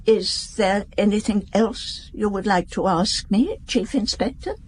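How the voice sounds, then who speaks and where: Woman playing a slow-spoken, stately old princess. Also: medium pitch, slight background noise, natural voice. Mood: gracious, restrained. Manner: formal, measured, stately. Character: gracious, composed elderly widowed princess. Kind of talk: radio drama dialogue.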